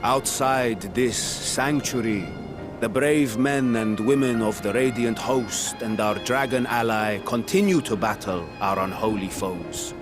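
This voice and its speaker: A man voicing a dignified ruler, speaking to his people in a formal, steady and reassuring way. His voice sits at a middle pitch. Orchestral music swells behind him. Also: measured pace, lightly acted. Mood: solemn. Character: a ruler, the male satrap addressing his people. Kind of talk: video game cutscene acting.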